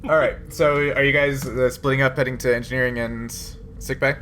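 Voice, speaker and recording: A man running a tabletop roleplaying game as game master, improvising his narration haltingly as he thinks aloud. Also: medium pitch, steady tone, a bit bright. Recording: noisy room ambience.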